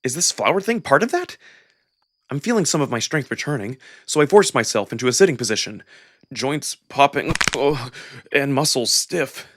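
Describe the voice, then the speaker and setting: A man giving an expressive, dramatic first-person narration of a visual novel. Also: medium pitch, moderate pace, slight background noise. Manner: expressive, acted-out delivery. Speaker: narrator and voice performer.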